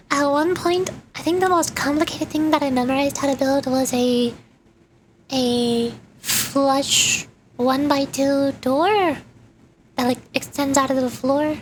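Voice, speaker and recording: High-pitched, playful woman streaming a game. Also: fairly even intonation, a little slow, a little hiss or hum, poor audio. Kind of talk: casual livestream chat while gaming. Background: game music.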